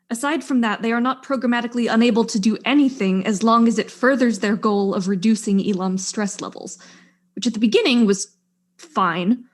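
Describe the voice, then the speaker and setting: A woman's voice: mid-range voice, natural voice. A woman acting a proud, bitter scientist and wronged wife in a mystery play, explaining formally and resentfully. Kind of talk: murder-mystery play dialogue.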